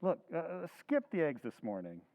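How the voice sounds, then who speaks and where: A man's voice: fairly high pitch, big pitch swings, normal speed, creaky, darkish tone. A man playing a weary, evasive husband who answers tersely and impatiently in an old-time radio drama recreation. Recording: tinny phone-like sound.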